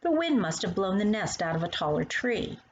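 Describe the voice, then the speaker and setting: A woman voicing a kindly grown woman in a storybook who gently explains and reassures as she talks to a child. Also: mid-range voice, very animated, normal speed, lightly acted. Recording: dull lo-fi sound with faint noise.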